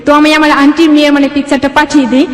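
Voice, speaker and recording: A woman's voice: sits high, little pitch movement, racing. A young woman from Bangladesh telling her life story as an interview guest, rambling and quoting dialogue as she goes, in a reverberant room. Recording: echoey hall-like reverb.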